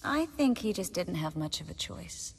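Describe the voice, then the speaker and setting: Wry young sorceress, lowish voice, game dialogue, long reverb. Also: female voice, sing-song, normal speed, creaky, husky, heavily acted. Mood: wry, guarded. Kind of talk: video game acting.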